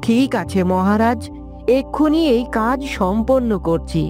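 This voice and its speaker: A woman playing an eager, deferential royal servant in a brisk, formal cartoon style. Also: a little high, lively intonation, darkish tone, soft background music. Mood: obedient. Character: obedient, eager royal servant reassuring the king. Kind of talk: dramatic cartoon dubbing.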